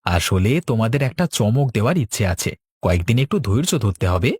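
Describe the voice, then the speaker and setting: A man voicing a determined, patient young farmer in a cartoon, earnest and steady. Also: on the low side, brisk, husky, no echo, studio quality. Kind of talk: cartoon dialogue.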